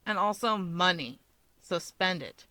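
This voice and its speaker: A woman's voice: on the low side, lively intonation, a little slow, pushed and bright, no echo. A woman voicing a surly, sardonic young man with a drawling, dismissive manner in a story reading. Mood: irritated.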